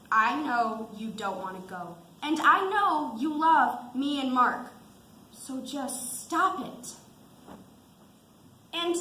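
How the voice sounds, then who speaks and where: Teenage girl playing bright-voiced exasperated young wife, echoey. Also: sits high, full character voice. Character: exasperated, loving wife of departing soldier. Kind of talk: amateur student stage acting. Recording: echoey school stage, faint noise.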